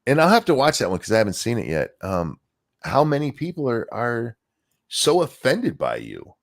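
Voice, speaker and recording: A man's voice: mid-range voice, wildly swooping pitch, normal speed, gravelly. A man hosting a casual livestream chat, talking in a loose, rambling way with restarts. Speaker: host.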